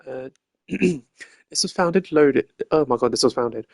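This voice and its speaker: A game streamer with a mid-pitched voice reading visual novel dialogue aloud. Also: animated, measured pace, vocal fry, no echo, studio quality. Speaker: streamer voicing a video game playthrough. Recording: game music behind the voice.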